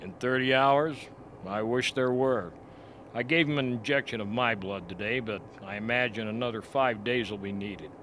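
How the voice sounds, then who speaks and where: Radio play doctor, male actor: earnest, deliberate man of science, mid-range voice, faint background noise. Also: mid-range voice, fairly bright tone, lightly acted. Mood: matter-of-fact. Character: grave, reasoning expedition doctor and scientist.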